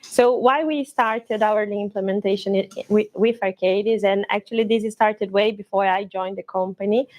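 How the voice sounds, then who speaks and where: A woman from Brazil in her thirties with a Brazilian Portuguese accent, presenting at a conference with some halting restarts. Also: medium pitch, poor audio. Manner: slightly halting, with restarts and fillers.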